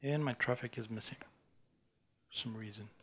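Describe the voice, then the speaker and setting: A man from Bulgaria, giving a technical conference talk with a live demo and talking in a halting way, with many restarts and fillers. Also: medium pitch, a bit bright, husky. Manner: halting, frequent restarts and fillers while demoing. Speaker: conference speaker. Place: conference room before an audience.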